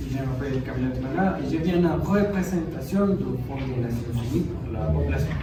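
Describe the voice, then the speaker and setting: A man playing an earnest United Nations delegate in an amateur news sketch, formal and halting. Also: medium pitch, close to an everyday voice. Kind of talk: amateur classroom dramatisation of TV news. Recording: very echoey room, distant mic, loud noise.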